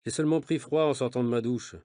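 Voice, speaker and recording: A man reading a novel's dialogue aloud for language learners, speaking in a measured, clear way. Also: medium pitch, background noise. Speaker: audio reader of a learner's novel.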